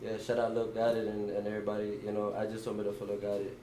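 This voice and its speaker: A man's voice: on the low side, completely flat delivery, racing, heavy background noise. A young man, a guest music artist, chatting casually in an interview with loose slangy talk and a lot of "you know what I'm saying".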